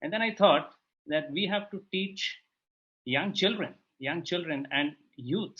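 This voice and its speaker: A man's voice: on the high side, measured pace, a bit bright. A man with an Indian accent sharing reflections as a participant in an online discussion, with dull lo-fi sound. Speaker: panel participant. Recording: dull lo-fi online call audio.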